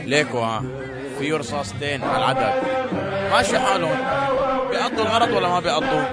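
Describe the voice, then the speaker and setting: A man acting a foul-mouthed tough guy who fires off insults quickly. Loud crowd noise and music fill the background. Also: fairly high pitch, big pitch swings. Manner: crude, insulting, mocking.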